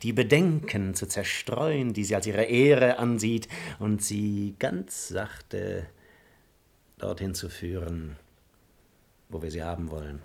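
A man's voice: lowish voice, takes it slowly, vocal fry, darkish tone. An actor playing an arrogant, seductive nobleman in a classical radio play, eloquent, theatrical and self-assured. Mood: smug, seductive.

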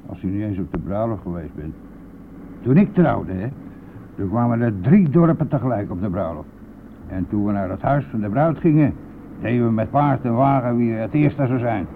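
An actor playing a boastful, brash and talkative bus driver in a radio play, with a blustery, colloquial manner. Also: male voice, fairly low pitch, expressive, moderate pace. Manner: blustery, colloquial, mildly swearing. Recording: narrow phone-like old radio recording, faint noise.

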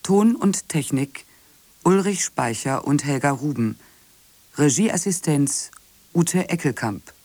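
A woman reading radio play credits as a formal, measured scripted announcement. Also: low-pitched, lively intonation, dark tone, dry recording. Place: dry radio studio.

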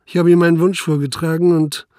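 An actor in a radio drama playing a sober police investigator with a measured, matter-of-fact delivery. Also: male voice, fairly high pitch, little pitch movement, dark tone, lightly acted. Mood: sober narrating. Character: sober, dutiful male police investigator, also recounting the case. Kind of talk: German radio drama acting and narration.

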